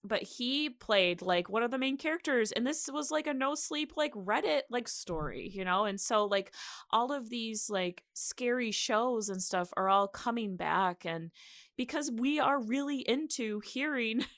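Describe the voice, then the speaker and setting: A woman podcast host chatting casually in a halting, informal way, often dropping in "like" as she thinks aloud. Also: on the high side, lively intonation, fairly bright tone, dry recording, clean studio sound. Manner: halting, informal, filler-heavy with "like". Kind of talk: casual chat about horror radio.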